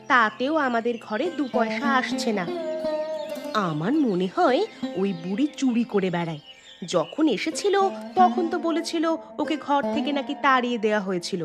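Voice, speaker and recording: A woman's voice: fairly high pitch, close to an everyday voice. A woman voicing a suspicious farmer's wife in a village cartoon, talking in a gossipy, accusing way. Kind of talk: cartoon voice acting.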